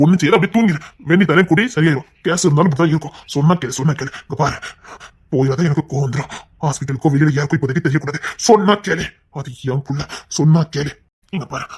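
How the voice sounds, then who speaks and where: A man plays a controlling husband in a heated melodrama, with a husky voice and rapid delivery. He insists over and over, coaxing in hushed tones and scolding with agitation, an anxious father-to-be who will not be argued with. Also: fairly high pitch. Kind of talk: emotional melodrama dialogue.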